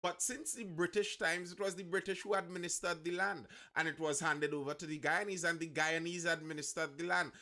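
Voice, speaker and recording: Bright-voiced Trinidadian man talking news. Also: on the high side, fairly even intonation, fairly quick, hardly any pauses. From Trinidad and Tobago. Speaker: host of a live news discussion stream. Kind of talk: conversational news commentary to live viewers.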